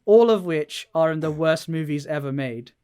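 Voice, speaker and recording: A man with a fairly high voice talks casually as a podcast co-host. He explains things in an animated, informal way and swears freely. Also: moderate pace, clean tone. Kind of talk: casual podcast chat about games and films.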